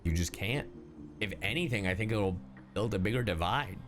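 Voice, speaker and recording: Low-voiced, emotional male streamer. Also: animated, moderate pace, over music. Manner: emotional, swearing, repeating himself. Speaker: streamer reacting to a game story. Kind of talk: casual livestream commentary.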